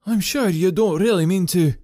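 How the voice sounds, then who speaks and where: A man's voice: sits high, normal speed, dark tone, dry recording, pitched above the performer's own voice, close to an everyday voice. A man voicing an earnest, easily startled police inspector in audiobook dialogue, with an official, protesting manner. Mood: doubtful, protesting.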